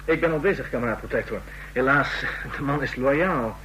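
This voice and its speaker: A man's voice: medium pitch, normal speed, fairly bright tone, slight background noise, natural voice, low-quality recording. Secret police chief acted by a man, dry, formal, mid-range voice, faintly humming old radio drama recording. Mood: dryly calculating. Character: calm, calculating secret police dossier-keeper.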